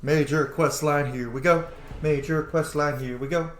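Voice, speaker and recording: A man's voice: on the high side, steady tone, normal speed. A man chatting casually as he plays a video game, swearing now and then. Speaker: gamer playing and commenting on a video game. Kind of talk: casual gameplay commentary, reading choices aloud. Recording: echoey room, faint background noise.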